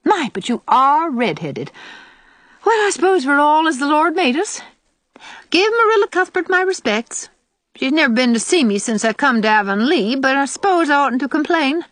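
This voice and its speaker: A sour old country aunt, put on by a woman reader, pitched high and swooping. She nags and complains with biting sarcasm, as a storybook character who disapproves of everyone. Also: sing-song, normal speed, a bit bright, no echo. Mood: needling. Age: older. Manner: disagreeable, sarcastic, nagging. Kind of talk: audiobook character voice.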